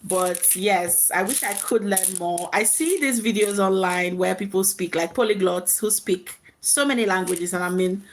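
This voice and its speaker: A woman's voice: mid-range voice, moderate pace, few pauses, slight background noise. A woman hosting a casual live chat, speaking reflectively in short, repeated phrases to her viewers. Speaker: live stream host.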